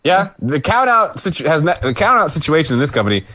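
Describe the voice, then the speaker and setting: A man's voice: fairly high pitch, sing-song, quick pace, bright, forceful tone, no room sound. A man co-hosting a podcast, recapping a wrestling match in a casual, rambling play-by-play with asides. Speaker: podcast co-host. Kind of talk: casual wrestling review chat.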